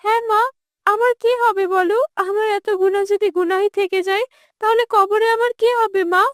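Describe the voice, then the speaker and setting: A woman's voice: very high-pitched, steady tone, normal speed, fairly bright tone, no room sound, clean studio sound, pitched above the performer's own voice. A woman playing an earnest, repentant young woman in a cartoon, anxious and pleading.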